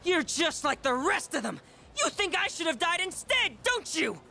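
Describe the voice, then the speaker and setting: A haughty young cult believer for a video game. The voice is high and bright, swooping theatrically, taunting and volatile. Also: female voice, big pitch swings, moderate pace, creaky, slight background noise, full character voice. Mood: hurt, indignant. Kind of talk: English video game voice acting.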